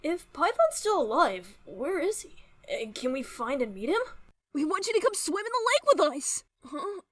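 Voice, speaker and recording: A woman voicing a sweet, innocent young child with an eager, questioning manner, in an animated drama. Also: high-pitched, moderate pace. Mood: curious, eager.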